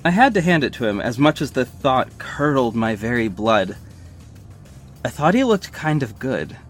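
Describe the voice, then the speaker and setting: A man's voice: mid-range voice, sing-song, normal speed, close to an everyday voice. A man playing a wry young superhero who narrates his own mission in scripted first person. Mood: descriptive, wry. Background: music bed.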